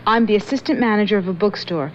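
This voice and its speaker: A woman's voice: mid-range voice, quick pace, a little hiss or hum, lightly acted. An actress playing a sensible young woman who earnestly explains herself in a radio drama. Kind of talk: 1970s radio drama acting. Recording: dull lo-fi radio recording.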